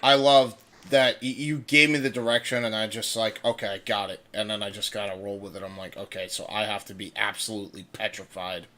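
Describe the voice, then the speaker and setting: Male co-host and voice actor. Deeper pitch with a sharp edge, loose informal stream chat with casual swearing. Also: fairly even intonation, a little fast, hardly any pauses.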